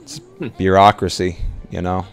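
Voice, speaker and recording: Low, creaky-voiced man roleplaying casually. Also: completely flat delivery. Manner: hesitant, halting. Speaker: roleplay player. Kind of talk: casual improvised tabletop roleplay.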